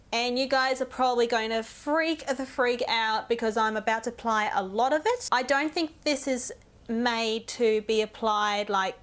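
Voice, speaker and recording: A young woman with a slightly high voice, roughened by a cold. She chats directly to her viewers through a beauty tutorial, friendly and a bit ranty. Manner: chatty, ranting, addresses viewers directly. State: croaky from a cold. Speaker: beauty vlogger. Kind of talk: casual makeup tutorial talk.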